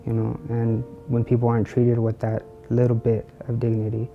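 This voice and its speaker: A man speaks earnestly in a very flat, monotone voice with a gravelly creak. The recording is dull, with faint guitar music behind him. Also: medium pitch, normal speed. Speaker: psychiatric hospital patient. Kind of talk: documentary interview.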